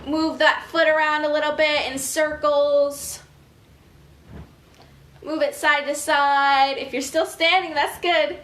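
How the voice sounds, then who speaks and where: An American woman leading children's story time as an educator, expressive and chatty, reading and giving instructions directly to young listeners. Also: sits high, slow pace. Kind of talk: animated picture-book reading and casual guided instruction for young children.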